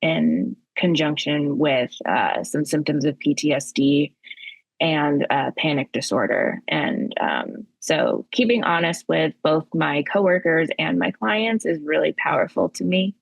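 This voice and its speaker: Woman co-host, raspy tired voice with gravelly edges, dry close sound. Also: deep voice, lively intonation, dry recording, poor audio. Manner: candid, halting, many fillers. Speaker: podcast co-host, therapist. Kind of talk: casual podcast chat.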